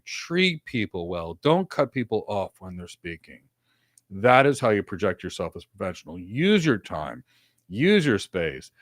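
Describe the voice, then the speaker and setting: A man speaks into a webcam from a home office with several monitors, co-hosting a live career Q&A. He sounds earnest and motivational, stacking short instructions one after another. His voice sits at a middle pitch and sounds dry and close, with no room echo. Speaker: co-host answering career questions. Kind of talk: live Q&A advice. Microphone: desk webcam setup, video call.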